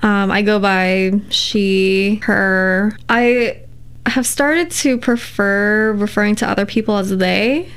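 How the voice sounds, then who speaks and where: A woman, a poet, talking in a relaxed interview and choosing her words thoughtfully, with many fillers. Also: medium pitch, slow pace, clear, resonant tone. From United States. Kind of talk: casual interview conversation.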